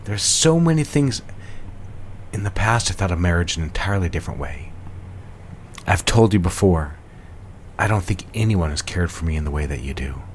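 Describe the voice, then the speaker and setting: Adoring gentleman lover, deep voice, swooping intonation, sentimental, audio drama, faint room noise. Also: very animated, normal speed, long pauses. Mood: affectionate.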